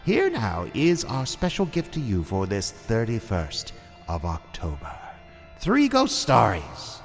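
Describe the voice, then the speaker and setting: In a cavernous reverb over music, an ominous warlock horror host for a radio show. The low voice swoops theatrically and draws the words out with great drama. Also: male voice, wildly swooping pitch, slow, exaggerated voice. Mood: theatrical menace. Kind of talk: old-time radio horror hosting.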